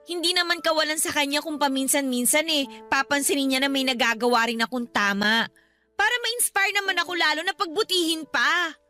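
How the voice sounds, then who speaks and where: A hurt eighteen-year-old daughter in a radio drama. Her voice is high and sharp, pleading and stubborn as she longs for independence, with faint music underneath. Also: a little fast. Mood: hurt, plaintive. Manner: pleading, plaintive. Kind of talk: Tagalog radio drama acting.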